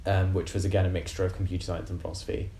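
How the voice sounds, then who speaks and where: Low, dark-voiced young man in echoey room. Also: level tone, very fast, gravelly, slight background noise. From West London. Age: around 20. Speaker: university student. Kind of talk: casual interview answers.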